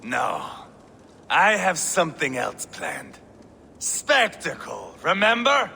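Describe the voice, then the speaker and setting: A man's voice: on the high side, wildly swooping pitch, slow, gravelly, sharp tone, a little hiss or hum, exaggerated voice. A man acting a cruel, theatrical Viking warlord in a video game, gloating and mocking as he savors his words. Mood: sly relish.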